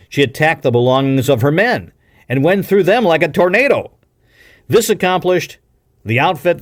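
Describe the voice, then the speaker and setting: A man's voice: mid-range voice, no echo, studio quality. A man reading a classic adventure novel aloud as an audiobook narrator, with a dramatic, emphatic storytelling delivery. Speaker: audiobook reader.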